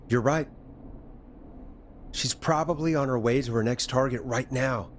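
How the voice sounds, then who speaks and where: An actor plays a male late-night radio DJ in a video game, with a medium-pitched voice. He sounds steady and reassuring, with a dry, wry edge, over faint background noise. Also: slow pace, natural voice. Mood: determined. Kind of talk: video game voice acting, conversational.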